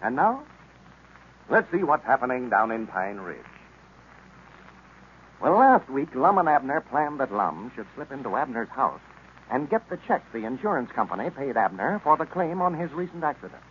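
An American male radio announcer in the 1930s manner. His scripted delivery swings up and down in a lively way. The vintage recording sounds dull, with faint noise. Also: mid-range voice, moderate pace.

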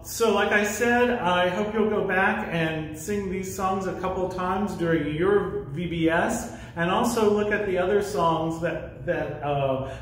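Children's music leader: American man, high-pitched, slow, encouraging, recorded in a reverberant room. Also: a little hiss or hum. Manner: simple, encouraging explanations with demonstrations. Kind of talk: instructional talk for a children's sing-along. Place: church music classroom with a piano. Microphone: camera microphone a few feet away. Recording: very echoey room, camera-distance sound.

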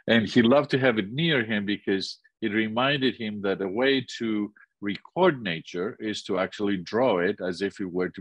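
A man's voice: on the low side, no room sound. An older man from Greece with a Greek accent, giving an illustrated lecture over a video call, thoughtful and full of restarts. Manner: thoughtful, many restarts and pauses. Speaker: architecture teacher.

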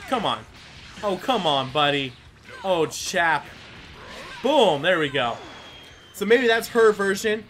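A man hosts a casual gaming video, rambling as he thinks aloud. His voice is high and animated, with a little music behind it. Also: takes it slowly, pauses often. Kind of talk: casual let's play commentary.

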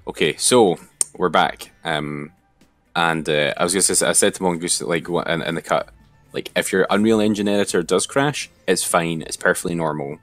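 Male software tutor, deep register, informal and hesitant walk-through, faint music bed. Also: moderate pace, no echo. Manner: hesitant, thinking aloud. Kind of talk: informal step-by-step screen tutorial.

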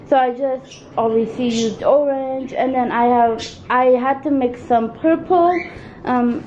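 A woman's voice: a little high, mellow. A congested woman hosting a home craft video, rambling with many ums and self-corrections as she shows her work off camera. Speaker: craft channel host. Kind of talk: casual show-and-tell narration. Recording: dull lo-fi sound, faint noise.

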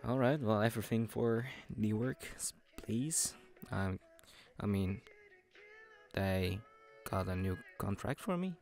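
A man voicing an obsessive, falsely sweet young male friend in an intimate audio roleplay, soft and teasing, often breathy. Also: fairly low pitch, expressive, soft background music, full character voice. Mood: friendly, teasing. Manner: slow, chuckling, teasing, coaxing.

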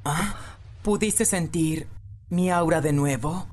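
A woman voicing a gentle, trusting teenage boy in an anime fandub, soft-spoken and hesitant. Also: deep voice, slow. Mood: dazed.

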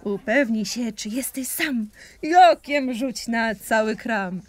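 Female audio play performer: lightly high voice, unhurried sing-song verse, playful and deliberate. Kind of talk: theatrical rhymed verse recitation.

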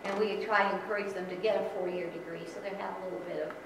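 A middle-aged woman from the Philippines giving an informal Sunday school talk as guest speaker, chatty and quick to laugh, often correcting herself mid-sentence. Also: on the low side, steady tone, background noise. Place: large church sanctuary. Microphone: far camera. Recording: echoey church hall from far off.

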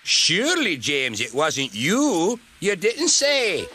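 A man's voice: high voice, big pitch swings, unhurried, noisy background, voice pushed up. A man voicing a feisty, indignant steam engine from a children's story, with a Scottish accent and an emphatic, scolding manner. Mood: teasing.

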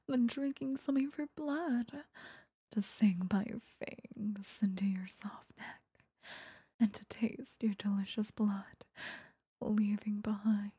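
Woman acting female vampire, possessive, seductive, menacing, slow coaxing whisper, dry close-mic ASMR roleplay. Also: medium pitch, mellow, no echo, full character voice. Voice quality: breathy whisper. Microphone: close microphone.

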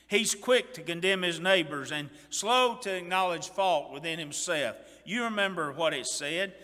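Older man preaching in a reverberant church. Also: high-pitched. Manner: emphatic preaching with wide swings in loudness, stumbling restarts. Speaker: pastor. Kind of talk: sermon. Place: church sanctuary stage behind a pulpit.

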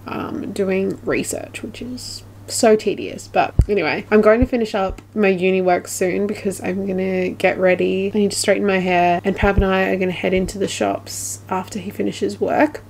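A young Australian woman talks straight into a camera held at arm's length in a small room at home. Her voice sits at an ordinary middle pitch with plenty of vocal fry. She rambles in a relaxed, chatty way, full of little fillers. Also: a little hiss or hum. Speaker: vlogger. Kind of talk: casual vlog chat to camera.

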